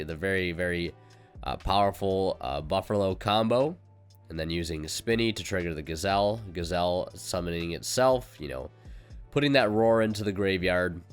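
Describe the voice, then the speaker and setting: A man with a low, resonant voice talks over a card game match with easygoing, casual commentary. He has a cold that leaves his voice slightly off. He fills gaps with "um" and "uh" and corrects himself as he goes, over faint background music. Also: clear, resonant tone. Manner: relaxed and conversational, many fillers and self-corrections. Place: voice-over recorded separately from the match footage.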